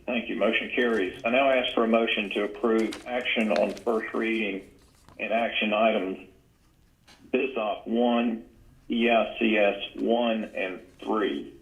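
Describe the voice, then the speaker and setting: A man's voice: lowish voice, fairly even intonation, a little slow, low-quality recording. A man presiding formally as chair over a board meeting held on a conference call. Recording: conference call audio stream.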